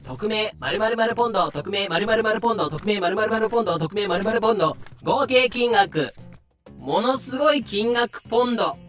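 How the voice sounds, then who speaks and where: A male narrator with a very bright, forceful voice, pitched a little high. He delivers scripted comedy narration in an explanatory way, slipping in dry sarcastic remarks. Music plays behind him. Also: normal speed.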